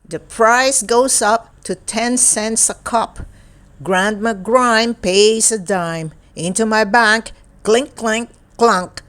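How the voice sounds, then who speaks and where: A woman reading a picture book aloud for young children in a deliberate, playful way, in short separated phrases. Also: fairly high pitch, a bit bright. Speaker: children's storybook reader.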